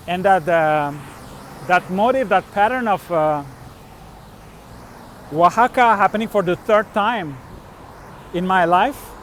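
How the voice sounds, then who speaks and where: A middle-aged man from Turkey and Switzerland tells personal travel stories casually, in a halting, rambling way with trailing thoughts. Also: sits high, a bit bright, slight background noise. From born in Turkey, grew up in Switzerland. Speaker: vlogger, musician and traveler. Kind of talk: casual unscripted personal storytelling.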